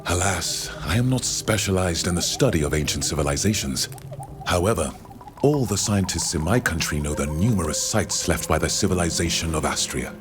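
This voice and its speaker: A man playing a courteous, learned foreign scholar in a video game, speaking in measured, formal, precise phrases. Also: low voice, lively intonation, music in the background. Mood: scholarly interest. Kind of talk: video game dialogue acting.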